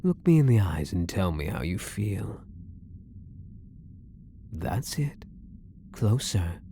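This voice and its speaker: A man's voice: sits low, very animated, mellow, a little hiss or hum, exaggerated voice. A man voicing a possessive, coy elf prisoner in an intimate ASMR roleplay, teasing and devoted, sometimes dropping toward a whisper. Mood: obsessive, adoring. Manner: teasing, slow, lilting delivery dropping into whispers.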